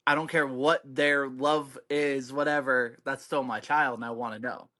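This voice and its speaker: Bright-voiced man weighing in on a podcast. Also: medium pitch, fairly even intonation, normal speed. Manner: earnest, reasons a point through step by step. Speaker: podcast co-host. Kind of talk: casual podcast chat.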